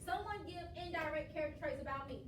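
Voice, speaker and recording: A woman teacher with a high voice, talking a lot and laughing often as she leads a classroom lesson. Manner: talkative, quick, laughs often. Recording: echoey classroom from far off, noisy.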